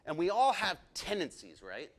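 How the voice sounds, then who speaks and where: A man in his thirties teaching a leadership lesson to a group, excitable and chatty, often asking the group questions. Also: high voice, moderate pace. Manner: excitable, talks a lot, asks the group questions.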